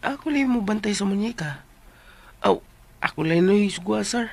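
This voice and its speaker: A man's voice: sits high, expressive, moderate pace. A male radio drama actor playing an easygoing, teasing helper with a jokey, drawling manner. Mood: casual.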